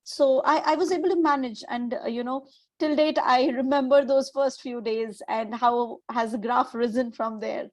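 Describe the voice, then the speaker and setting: A woman's voice: sits high, fairly even intonation, normal speed, no echo. Woman teacher on a dry video call. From India. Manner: hesitant, many fillers. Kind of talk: webinar discussion.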